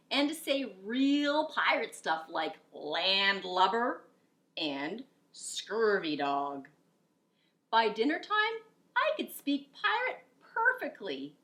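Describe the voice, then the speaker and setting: A middle-aged woman reading a picture book aloud as a teacher, expressive and explanatory, with deliberate delivery. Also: mid-range voice. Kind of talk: expressive children's story reading.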